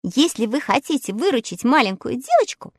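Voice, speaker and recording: Woman doing a squeaky mocking squirrel. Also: sing-song, brisk, no echo, studio quality. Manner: squeaky, scolding, teasing. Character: mocking chattering female squirrel.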